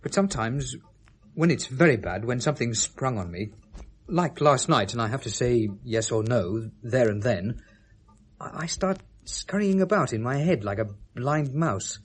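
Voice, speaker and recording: Nervy academic, articulate but unsure of himself, medium pitch, 1970s radio play. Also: male voice, lively intonation, moderate pace, breathy edge. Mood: troubled confiding. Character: anxious, indecisive, articulate academic man. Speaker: actor. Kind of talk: 1970s British radio drama acting.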